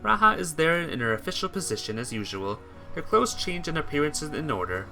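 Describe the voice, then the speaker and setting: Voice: medium pitch, big pitch swings, fairly quick, bright, forceful tone, soft background music. An amateur reader narrating a visual novel expressively, as a story.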